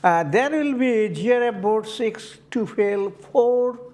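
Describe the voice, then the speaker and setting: An older man with an Indian accent, answering interview questions in a halting way, often repeating words as he searches for them. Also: high-pitched, expressive, a little mellow. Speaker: community board candidate.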